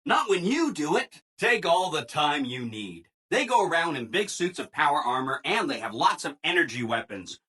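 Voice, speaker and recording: Eager-to-please game robot, chipper, gushing, bright forceful tone, swooping pitch. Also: male voice, very animated, moderate pace, few pauses, breathy edge, full character voice. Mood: chirpy, eager. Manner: chipper, exaggeratedly agreeable, gushing. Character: relentlessly cheerful, eager-to-please male robot assistant. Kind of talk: video game character dialogue.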